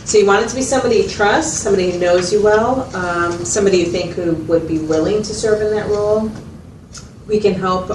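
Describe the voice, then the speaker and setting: A woman's voice: on the low side, close to an everyday voice. A woman playing a patient, methodical mental health clinician in a role-played consultation, explaining and checking understanding with questions. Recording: echoey, distant mic, noisy room.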